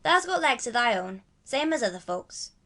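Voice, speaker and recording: A woman's voice: a little high, very animated, moderate pace, a bit bright, voice pushed up. A woman voicing a cheerful, reassuring country boy with a Yorkshire accent in an audiobook. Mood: encouraging. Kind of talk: audiobook character voice.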